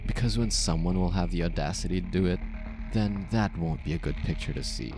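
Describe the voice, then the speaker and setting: Possessive mafia boss played by a man, low voice, slow intimate command, ASMR roleplay over prominent music. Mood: controlling, menacing. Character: possessive male mafia boss, controlling yet tender bridegroom. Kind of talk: ASMR romantic roleplay monologue.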